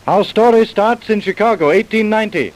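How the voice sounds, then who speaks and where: A man's voice: high-pitched, a little fast, natural voice, low-quality recording. A man narrating a crime drama as a solemn storyteller, in formal old-time radio style. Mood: solemn, storytelling. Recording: vintage radio broadcast recording.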